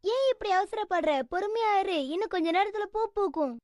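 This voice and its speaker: A woman's voice: extremely high pitch, fairly quick. A woman voicing a small, skeptical, grumbling magical companion in a children's cartoon, nagging and teasing. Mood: reluctant, patient. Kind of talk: Tamil-dubbed children's cartoon acting.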